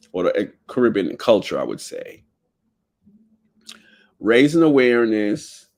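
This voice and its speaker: Low voiced guy hosting his own show, reading notes, stumbles n fixes words. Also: a little mellow. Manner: slow, halting reading with fillers and self-corrections. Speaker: podcast host. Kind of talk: reading notes aloud in a solo talk.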